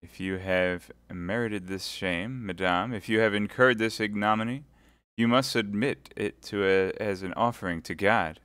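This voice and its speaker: Male reader as a solemn, upright soldier; low, measured, dry. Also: a bit bright, clean tone, no echo, natural voice. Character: serious devout young soldier, grave and upright.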